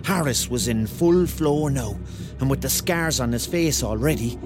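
A man's voice: medium pitch, measured pace, lightly acted. An actor playing a Cork man in his thirties, narrating a radio drama in a wry, colloquial way with a Cork Irish accent. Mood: tense storytelling. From Cork, Ireland. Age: 30s. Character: thirty-year-old Cork man, wry, dreamy storyteller. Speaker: narrator and lead character. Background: music.

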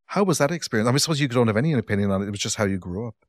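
A man's voice: mid-range voice, animated, rapid-fire, dry recording. An Irish man hosting a podcast interview, chatty and playful with teasing asides, recorded on a close mic. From Ireland. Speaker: podcast host. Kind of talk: casual interview.

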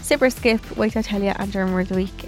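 A woman's voice: mid-range voice, racing, faint music behind. A woman co-hosting a podcast, chatting casually, swearing and laughing. She is stuffed up with a cold. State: congested with a cold. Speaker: podcast co-host. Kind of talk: casual chat.

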